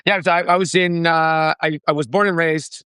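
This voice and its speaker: A young man from the Dominican Republic, a founder being interviewed, talking in an animated way with frequent laughs and restarts. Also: fairly high pitch, moderate pace, smooth, dry recording, studio quality. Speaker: startup founder, guest. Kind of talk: casual interview chat.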